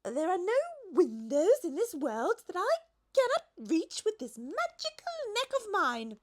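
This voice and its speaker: A woman's voice: extremely high pitch, big pitch swings, measured pace, pitched above the performer's own voice, exaggerated voice. A woman voicing a proud, superior female giraffe from a children's storybook, polite but haughty.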